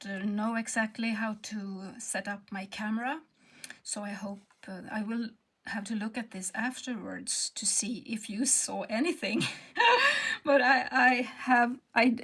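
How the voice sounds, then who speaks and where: Slow, soft-spoken Swedish woman. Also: mid-range voice. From Sweden. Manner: hesitant, searching for words, soft and half-whispered. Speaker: textile artist. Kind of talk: casual craft tutorial narration.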